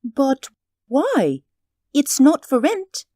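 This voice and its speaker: Fluttery old spinster lady, squeaky high voice that swoops around, old radio play. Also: wildly swooping pitch, extremely slow, dark tone, dry recording, studio quality, full character voice. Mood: flustered. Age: older. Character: prim, flustered older spinster schoolteacher. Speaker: apartment tenant. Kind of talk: 1940s radio acting.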